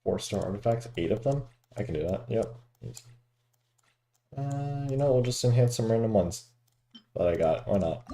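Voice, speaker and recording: Very mellow, low-pitched man gaming. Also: animated, moderate pace, gravelly, slight background noise. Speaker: video game streamer. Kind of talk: casual gameplay commentary.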